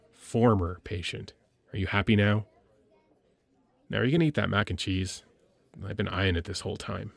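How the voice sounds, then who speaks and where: A man acts out a military medic lieutenant from a sci-fi audio drama, deep-voiced with a gravelly edge. He talks in a casual, teasing, slightly hesitant way, caring underneath the gruffness. The sound is dry and intimate. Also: no echo, exaggerated voice. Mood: frustrated, teasing, then teasing, fond. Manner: informal, hesitant, teasing, conversational. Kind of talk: intimate ASMR audio roleplay acting.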